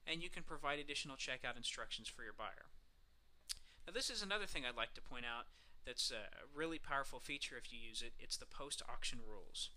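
A man presents a software walkthrough in a very bright, crisp-edged voice at a medium pitch. He explains things casually, one step at a time, as a tutorial presenter would. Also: animated, a little fast, low-quality recording. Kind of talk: screencast walkthrough narration, semi-scripted.

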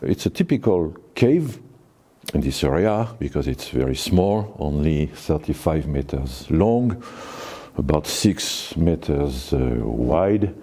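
A man in his sixties with a French accent, a cave owner giving a guided tour to camera, talking slowly and haltingly with many fillers. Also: rumbling low, very animated, mellow, breathy edge. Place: forest outdoors, then inside a small cave.

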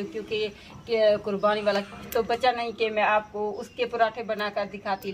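A woman's voice: medium pitch, low-quality recording. A young woman from Pakistan talking viewers through her cooking in a casual vlog, explaining each step. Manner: chatty, explains each step to viewers, heavy use of filler phrases. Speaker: village life vlogger. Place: outdoor village courtyard. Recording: outdoor camera audio with clear background noise. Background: outdoor ambience with birds and animals.

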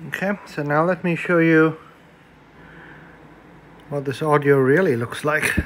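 A man's voice: on the high side, a little slow, a bit bright, slight background noise. A man giving casual, hands-on commentary as he demonstrates electronics at an indoor workbench. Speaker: electronics hobbyist presenter. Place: small indoor room at a workbench.

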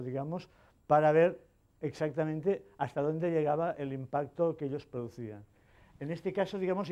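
A man's voice: fairly high pitch, mellow. A man from Spain giving a technical conference talk in a hall, hesitant, with many fillers and restarts. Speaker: conference speaker.

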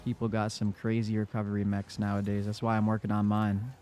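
A man's voice: lowish voice, completely flat delivery, fairly quick, darkish tone, faint music behind. Congested young man calling his own plays. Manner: laid-back, self-coaching play-by-play. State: congested from a cold. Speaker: gaming YouTuber. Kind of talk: casual gameplay commentary.

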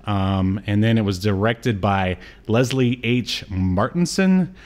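A man around forty co-hosting a casual film discussion, rambling and halting as he thinks aloud. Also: on the low side, a little mellow. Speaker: podcast co-host. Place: indoor room on a video call stream.

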